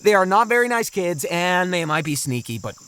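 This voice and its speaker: A man's voice: high voice, big pitch swings, fairly quick, pushed and bright, smooth, exaggerated voice. A man playing a kindly, practical father in a kids' audio drama, reasonable and encouraging. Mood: reasonable, concerned. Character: kindly, sensible, practical father of two kids.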